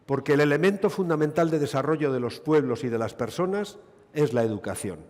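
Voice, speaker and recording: A man's voice: fairly high pitch, animated, fairly quick, darkish tone. A middle-aged man the Basque Country, a gynecologist giving a witty, rhetorical conference lecture. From Basque Country, Spain. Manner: expansive, witty and rhetorical, with dry asides. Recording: lecture hall reverb.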